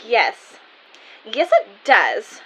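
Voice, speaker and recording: A woman's voice: a little high, huge pitch swings, very slow, a bit bright, husky, exaggerated voice, rough recording. Woman acting a wildly swooping, flippant shop worker. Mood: casual, offhand. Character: flippant, easily panicked female store worker. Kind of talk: amateur audio drama acting.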